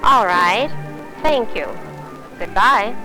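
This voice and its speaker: A polite American telephone company woman in a 1950s training film. Her voice is bright and forward, with formal, careful courtesy. Music runs behind her on the old soundtrack. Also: mid-range voice, expressive, slow pace, low-quality recording. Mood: polite, businesslike. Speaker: service representative.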